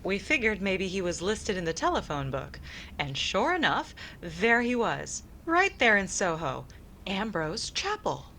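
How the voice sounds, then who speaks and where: A woman acting out a housewife in a classic radio comedy. Her medium-pitched voice is bright and very lively, with faint noise behind it. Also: unhurried, full character voice. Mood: eager. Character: doctor's wife, ordinary housewife and former singer.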